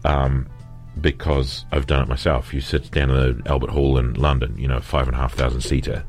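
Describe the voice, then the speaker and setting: Male singer, extremely low voice, thoughtful, relaxed interview guest. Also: a little fast, soft background music. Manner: reflective, laughs easily. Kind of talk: conversational interview.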